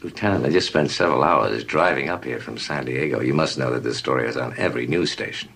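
Very deep-voiced, smug writer. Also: male voice, fast talker, vocal fry, fairly bright tone, a little hiss or hum, heavily acted. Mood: impatient. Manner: suave, superior, pointed. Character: smug, impatient, condescending male mystery writer. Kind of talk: television mystery drama acting.

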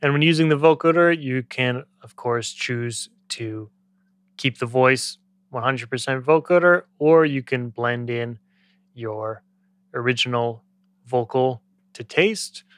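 A man in his thirties giving a casual software tutorial as a music production tutor, speaking into a close studio microphone. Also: mid-range voice, slow pace, no room sound. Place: small indoor home studio. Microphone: close studio microphone on boom arm.

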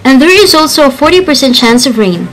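A woman's voice: sits high, very animated, quick pace. A woman reading a scripted radio newscast as anchor. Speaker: news anchor. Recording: music bed behind voice.

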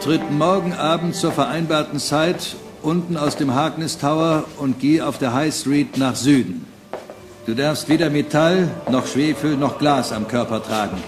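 A man reading secret ritual instructions in a slow, commanding, solemn manner. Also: fairly high pitch, natural voice. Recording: loud music behind.

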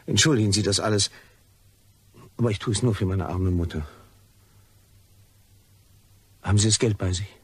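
A jittery, apologetic man in a crime radio drama, played by an actor. His voice is low-pitched and heavily breathy. He speaks as if anxiously thinking aloud. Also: quick pace, dry recording. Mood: apologetic, nervous. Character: nervous, apologetic man waiting to hand over money. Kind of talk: German crime radio play acting.

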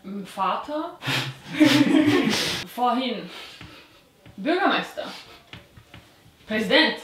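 A woman's voice: on the high side, animated, extremely slow. A young woman chatting casually and playfully during a game, recorded by a camera in a small bedroom. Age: young adult. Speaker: player in a family charades game. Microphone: camera a short distance away. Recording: echoey room, clear background noise.

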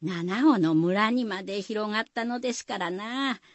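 A woman's voice: medium pitch, normal speed, no room sound. A woman narrating a folk tale for radio in a folksy manner, with rustic dialect. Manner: folksy storytelling. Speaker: storyteller narrator.

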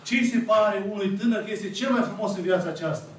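A Romanian-accented priest in his thirties delivers a slow, emphatic sermon with long pauses. His voice sits high for a man. It reaches the microphone from across a small, echoey church, with faint room noise underneath. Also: distant mic. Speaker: Orthodox priest. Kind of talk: sermon retelling a parable. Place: small church full of icons, congregation seated. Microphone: camera at the back of the room.

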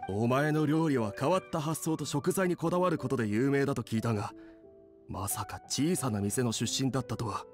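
Man playing a hearty, mid-pitched male cook. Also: brisk, darkish tone, faint music behind, natural voice. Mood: impressed. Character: good-natured adult male inn cook, gruff, gracious rival. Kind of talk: Japanese anime game voice acting.

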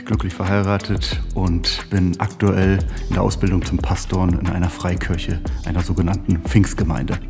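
A man in his early thirties talking about himself in an earnest, measured way. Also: low voice, level tone, gravelly, music in the background. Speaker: trainee pastor. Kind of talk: personal conversation.

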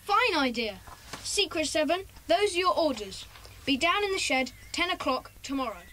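A woman voicing a bossy, eager schoolboy club leader with an English accent, brisk and commanding, in a children's audio drama. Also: high voice, big pitch swings, sharp tone, heavily acted. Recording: noisy cassette audiobook transfer.

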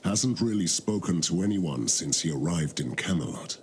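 An actor playing a grave, lordly medieval knight in a fantasy game, speaking in a measured, solemn way. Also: male voice, sits low, lively intonation, darkish tone, slight background noise. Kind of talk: scripted game voice acting.

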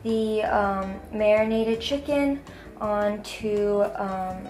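A woman's voice: medium pitch, fairly even intonation. A young woman hosting a cooking vlog in her home kitchen, talking casually through each step with a few fillers. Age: young adult. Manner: slow, chatty, thinks aloud with fillers. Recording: background music.

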